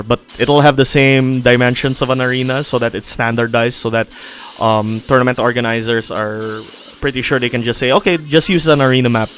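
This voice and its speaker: A man's voice: medium pitch, fairly bright tone. A man hosting a casual game livestream, answering viewer questions with frequent restarts and laughter. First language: not Japanese.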